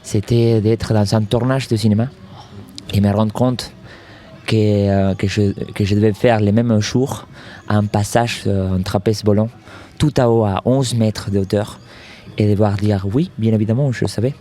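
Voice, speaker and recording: A man with an Argentine Spanish accent answers questions in a halting way, stopping and repeating words as he searches for them. His voice sits low with a warm, dark tone. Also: steady tone. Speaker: circus artist guest. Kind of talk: interview answers.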